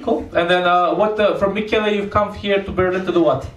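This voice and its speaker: A man's voice: sits high, steady tone, fast. A man with a Romanian accent, a stand-up comedian hosting an open mic, blunt and crude as he teases the audience. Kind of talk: crowd work stand-up. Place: comedy club with live audience. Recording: roomy club sound, distant mic, crowd.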